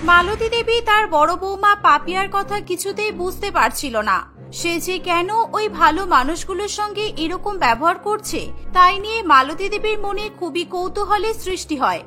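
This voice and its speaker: Female storyteller for cartoon narration: very high, forceful voice over soft music. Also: animated, moderate pace. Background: background music.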